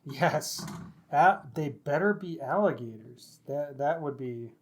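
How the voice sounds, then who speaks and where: A man's voice: fairly high pitch, expressive, takes it slowly. A man co-hosting a book podcast, talking in a casual, chatty style. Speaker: podcast co-host.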